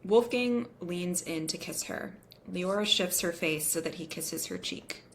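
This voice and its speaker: Low-voiced woman narrating, faint noise behind. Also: unhurried. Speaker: stage-direction narrator. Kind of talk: scripted screenplay narration.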